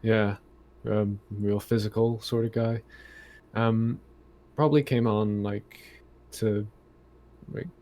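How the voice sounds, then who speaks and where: A man's voice: lowish voice, mellow. A man talking casually as a tabletop game player, hesitant and groping for his words. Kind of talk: casual chat in online game session.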